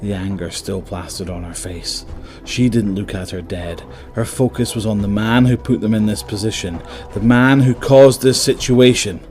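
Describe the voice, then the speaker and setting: A man's voice: lowish voice, normal speed, a little mellow, faint music behind. A man narrating a scripted dramatic story as the narrator of an audio drama.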